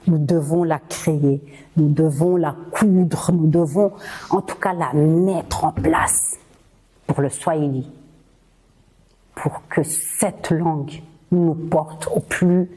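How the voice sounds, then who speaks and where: A woman's voice: low-pitched, warm tone, slightly rough. A Rwandan-born woman hosting a podcast, speaking earnestly and rallying her listeners, with frequent hesitations and repeated words. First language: Kinyarwanda. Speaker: podcast host. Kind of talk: impassioned podcast monologue.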